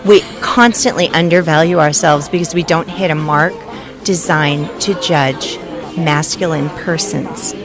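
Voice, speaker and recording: A woman interviewee making an earnest, reflective statement in articulate, measured, emphatic phrases. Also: low-pitched, steady tone, a crowd in the background. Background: prominent music.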